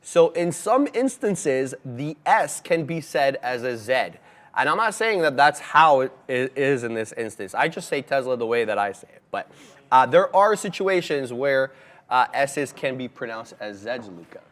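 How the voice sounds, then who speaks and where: A man's voice: medium pitch, expressive, normal speed, a bit bright. A man co-hosting a live trading show, giving chatty, upbeat market commentary and laughing easily with his co-host. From Egypt.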